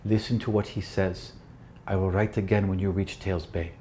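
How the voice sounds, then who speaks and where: A man reads as a loving, worried mother in a tabletop story. The delivery is low, soft and measured, with a solemn tenderness. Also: flat delivery, a little hiss or hum, close to an everyday voice. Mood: solemn, tender. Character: worried mother's tender farewell letter, read aloud.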